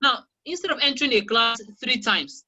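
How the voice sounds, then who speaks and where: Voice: mid-range voice, animated, normal speed, bright, forceful tone. A teacher from Nigeria with a Nigerian accent, a Yoruba speaker, answering interview questions emphatically and repeating key phrases over a video call. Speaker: guest teacher of English.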